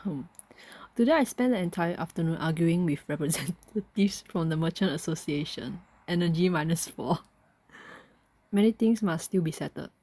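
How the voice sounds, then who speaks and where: A woman playing a game, commenting casually and reading its text out loud. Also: lowish voice, expressive, moderate pace, breathy edge, low-quality recording.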